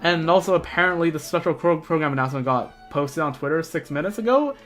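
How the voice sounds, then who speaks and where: Game livestreamer, mid-pitched voice, informal fan chatter, gamer slang, music playing behind. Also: lively intonation, fast talker, a bit bright. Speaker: streamer playing and reading out a video game. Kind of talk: casual livestream chat mixed with reading game dialogue aloud.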